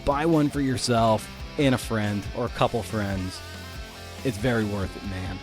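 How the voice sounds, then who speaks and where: A man's voice: fairly low pitch, unhurried, music in the background. A man hosting a podcast in a casual, chatty way, with informal, slangy wording. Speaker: podcast host.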